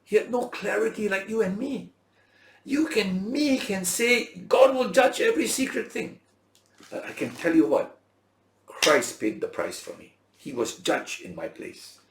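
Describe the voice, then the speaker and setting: A man preaching a Bible lesson as a teacher, in emphatic short phrases with rhetorical questions and a gravelly voice. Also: sits high, a bit bright. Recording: roomy, echoing sound.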